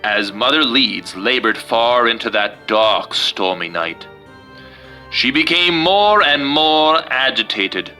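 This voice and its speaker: Man, storybook host, animated intonation, very bright tone, theatrical reader, faint background music. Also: mid-range voice, slow pace, rough recording. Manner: theatrical, suspenseful delivery when reading. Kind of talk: casual chat and dramatic storybook reading.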